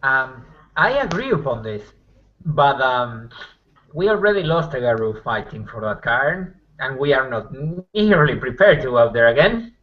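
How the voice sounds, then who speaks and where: A man improvising in a tabletop roleplaying game, halting and repeating phrases, on dull lo-fi audio. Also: mid-range voice, big pitch swings. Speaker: tabletop role-player. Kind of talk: casual improvised in-character roleplay.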